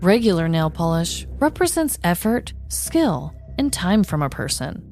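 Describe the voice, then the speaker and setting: Female podcast co-host, lower register, casual chat plus scripted comic storytelling, light music bed. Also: sing-song, unhurried, darkish tone.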